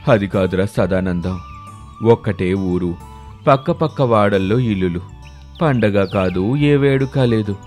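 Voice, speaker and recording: Man voicing grumbling, deep village husband. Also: measured pace, darkish tone, soft background music, natural voice. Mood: reproachful puzzlement. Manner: reproachful, reasoning.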